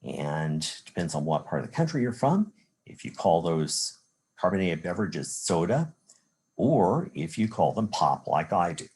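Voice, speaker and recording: A man's voice: sits low, very animated, normal speed, a little mellow, husky. A man from the Chicago area with an American accent, giving a recorded college lecture as an instructor, explaining examples methodically step by step. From Chicago area, United States. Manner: methodical, step-by-step explaining with rhetorical "well" and "again".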